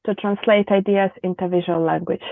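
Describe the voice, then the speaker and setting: A woman's voice: lowish voice, fairly even intonation, a little fast, creaky, no echo. A Ukrainian-accented woman in her thirties talks through her work thoughtfully, stopping often to find the right words. Her voice comes over a narrow, phone-like remote call line. The sound is dry, with no room around it. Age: 30s. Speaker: guest, visual practitioner. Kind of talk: conversational interview.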